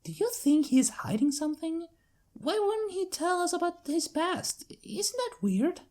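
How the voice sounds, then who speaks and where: A woman's voice: very high voice, big pitch swings, unhurried, a little mellow, heavily acted. A woman voicing chatty, inquisitive young female ponies in a cartoon fan story. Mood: curious. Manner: bubbly, inquisitive.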